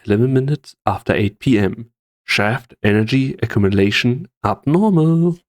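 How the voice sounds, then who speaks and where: A man's voice: medium pitch, normal speed, lightly acted. A man narrating a scripted story. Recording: loud music behind. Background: loud music.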